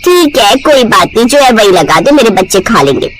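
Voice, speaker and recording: A woman plays a mother eating out with her family in a moral tale. She is blunt yet appreciative, in a fairly high voice. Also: sing-song, normal speed, a little hiss or hum. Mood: resigned.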